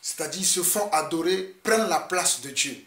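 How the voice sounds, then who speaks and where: A Togolese man preaching in French with his home accent, his voice sitting high for a man. He talks earnestly and circles back over his phrases as he exhorts. The recording sounds roomy and a little off-mic. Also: moderate pace, a bit bright, recorded from a distance. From Togo. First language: Mina or Ewe. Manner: earnest, repeating himself as he exhorts. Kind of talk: online sermon video.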